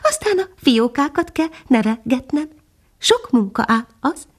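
Woman voicing a tiny songbird, female nightingale, very high, light and lilting, gentle, patient storybook voice. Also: big pitch swings, slightly rough, heavily acted. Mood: explaining. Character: modest little female nightingale, small songbird. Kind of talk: storybook character voice.

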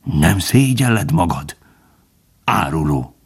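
A wounded fox from a storybook, played by a man, drained and bitter. The voice is raspy and very changeable in pitch, full of desperate reproach. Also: huge pitch swings, a little mellow, full character voice. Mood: bitter accusation. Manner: pleading or hissing reproach. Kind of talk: dramatic storybook character acting.

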